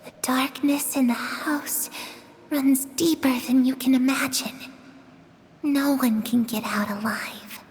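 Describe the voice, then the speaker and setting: A frail, eerie little girl in a game, speaking high and very slowly. She hesitates and trails off as if afraid of being overheard. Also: fairly even intonation, a little hiss or hum. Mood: ominous. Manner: slow, hesitant, drifting into whispers. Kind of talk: video game dub acting.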